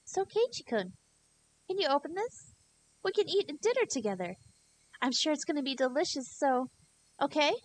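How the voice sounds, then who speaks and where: A woman voicing a sweet but unsettling teenage girl, high and sing-song, who turns shrill with pained apologies. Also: very animated, moderate pace, pauses often, slight background noise, poor audio. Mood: creepy sweet.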